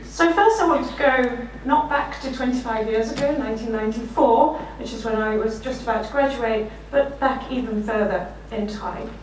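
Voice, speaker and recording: A woman's voice: on the high side, moderate pace, few pauses, distant mic, slight background noise. Middle-aged woman lecturing in an echoey room. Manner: warm anecdotal, self-deprecating, often laughing while speaking. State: slightly croaky voice. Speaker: keynote speaker. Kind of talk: conference keynote lecture. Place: lecture room, standing behind a desk. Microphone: room mic, far from speaker.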